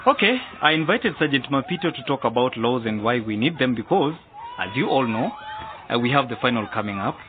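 A man's voice: medium pitch, natural voice. A man playing a hearty boys' football coach in a radio drama, encouraging and instructing his group. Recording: noisy, tinny phone-like radio drama recording.

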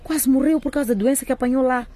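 An actress playing an indignant, hard-working market-trader mother in a radio drama, sharp-tongued, scolding and sarcastic. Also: high-pitched, fast. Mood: bitter, accusing.

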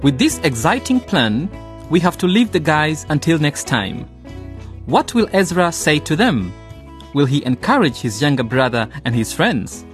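Lively male radio drama narrator. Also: on the high side, unhurried, soft background music. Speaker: narrator and announcer.

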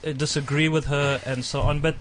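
A man, his voice sitting a little on the high side, hosts a radio talk show. He reads a checklist aloud briskly and chats with his co-hosts between the items. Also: level tone, fairly bright tone, a little hiss or hum.

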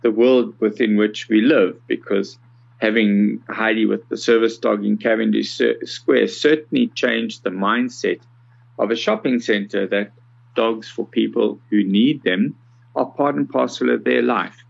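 A South African man in his sixties giving conversational, measured interview answers with some hesitation. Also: on the low side, vocal fry, muffled recording. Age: 60s. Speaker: podcast guest, accessibility consultant.

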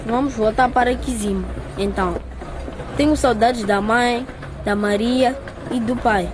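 A man's voice: very high-pitched, unhurried, noisy background. An actor playing a cold, hungry boy begging on a street in a radio drama, with a plaintive voice. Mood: pleading.

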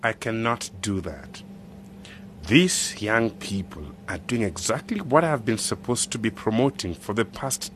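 An actor playing a principled village official in a radio drama, measured, polite and earnest. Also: male voice, mid-range voice, a bit bright, a little hiss or hum. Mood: earnest, resolute.

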